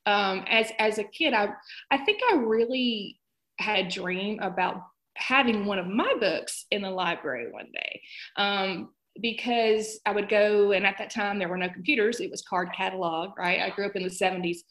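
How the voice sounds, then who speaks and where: A woman's voice: medium pitch, moderate pace, a bit bright. A woman in her fifties chatting casually as a guest author in an interview.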